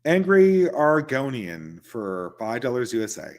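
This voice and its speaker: A man's voice: mid-range voice, sing-song, a little slow. A man hosting a casual livestream, answering viewer questions in loose, conversational talk and chuckling between points.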